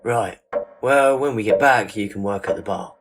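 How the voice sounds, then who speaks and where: A man's voice: on the low side, normal speed, lightly acted. A man playing a friendly, plain-spoken pub landlord in an audio drama, informal and matey, with a worrier's streak. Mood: matey, concerned. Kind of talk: audio drama acting with narrated reflections. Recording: voice over prominent background music.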